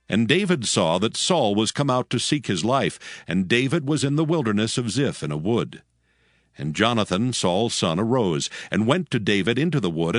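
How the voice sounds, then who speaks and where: Guy narrating a bible story, solemn storyteller, fairly low voice, faint music behind. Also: no room sound, clean studio sound. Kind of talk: scripted narration of dramatized scripture. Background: faint background music.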